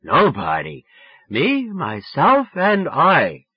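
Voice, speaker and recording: A man voicing a drunk, clingy teenage boy in an audiobook, yelling and pleading in a slurred, drawn-out way. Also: a little high, huge pitch swings, husky, dry recording, lo-fi sound, pitched above the performer's own voice, heavily acted. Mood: slurred pestering.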